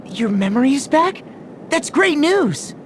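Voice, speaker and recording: A spirited teenage warrior girl from a video game. Her voice is high and expressive, with a direct, informal way of talking that shows she cares. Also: measured pace, a little hiss or hum. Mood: relieved, eager.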